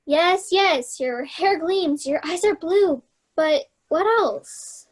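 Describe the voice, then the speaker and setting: An actress playing a dreamy, earnest young fairy-tale heroine in a children's stage reading. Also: female voice, extremely high pitch, expressive, slow pace, exaggerated voice. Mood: bored, earnest.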